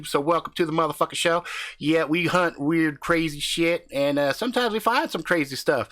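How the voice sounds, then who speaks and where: A man voicing a loud, crude, profane husband who hunts ghosts with his wife, swaggering and brash. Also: fairly high pitch, pitched above the performer's own voice. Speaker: comedic character.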